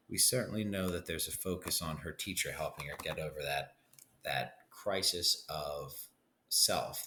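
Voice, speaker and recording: A man's voice: a bass voice, pushed and bright, slight background noise. A man giving an instructional lesson as a teacher, thinking aloud and guiding listeners with frequent check-ins.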